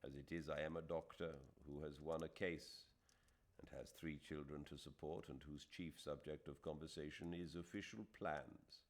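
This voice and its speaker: A man voicing a proud, wounded Indian doctor in a novel, speaking formally and quietly. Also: rumbling low, fairly even intonation, normal speed. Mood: proud, melancholy. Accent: British. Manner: quiet, formal, literary dialogue.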